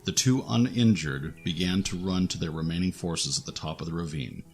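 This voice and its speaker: A man's voice: sits low, normal speed, darkish tone, soft background music. A man reading scripted narration for a fantasy action audio drama as its narrator.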